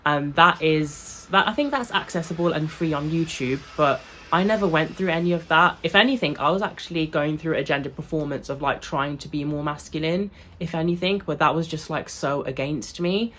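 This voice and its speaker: A woman's voice: low-pitched, normal speed, hardly any pauses, a bit bright, slight background noise. A young woman from England, chatting loosely to camera in a vlog, full of fillers and asides. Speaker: vlogger. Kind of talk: casual chat to camera.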